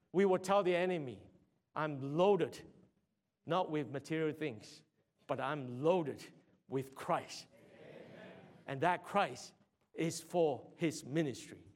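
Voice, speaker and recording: Man with a fairly high voice, halting testimony. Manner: halting, repeats words, rises to loud exhortation. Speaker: church brother giving a testimony. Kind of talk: sermon testimony.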